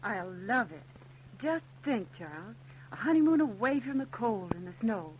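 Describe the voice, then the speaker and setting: Young bride-to-be, American, eager and teasing, very animated, high pitch, radio drama, noisy old recording. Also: female voice, moderate pace, dull audio. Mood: dreamy, affectionate.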